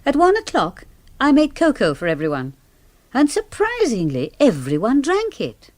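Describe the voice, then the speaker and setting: A wry lady sleuth, animated voice. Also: sits high. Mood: narrating. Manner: measured, wry, articulate. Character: composed, dry-witted woman doctor, amateur sleuth and narrator. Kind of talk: British radio mystery drama acting.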